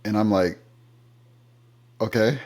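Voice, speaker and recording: A man's voice: lowish voice, slow, darkish tone. A man being interviewed about his work as an interpreter, telling anecdotes in a thoughtful, conversational way with some fillers. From United States. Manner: thoughtful storytelling, occasional fillers.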